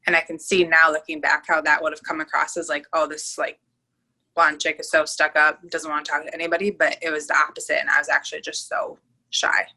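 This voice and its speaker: A woman's voice: low-pitched, level tone, brisk, pushed and bright, low-quality recording. A woman in her twenties co-hosting a podcast, talking candidly and easily with lots of fillers in casual chat. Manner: candid, easygoing, filler-heavy. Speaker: podcast co-host.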